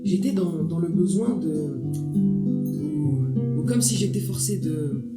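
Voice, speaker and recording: A man from Morocco, whose first language is Moroccan Arabic, performs his poetry in a halting, repetitive, incantatory way at a venue. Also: sits high. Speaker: poet. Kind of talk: spoken-word poetry performance. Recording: roomy hall with loud guitar.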